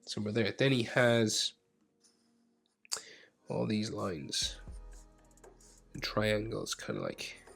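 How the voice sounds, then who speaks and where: A man with a head cold, narrating a step-by-step drawing tutorial, muttering quietly to himself and drifting into whispers. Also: fairly low pitch, lively intonation, slow, creaky, long pauses, husky, faint music behind. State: congested with a cold. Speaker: art tutor.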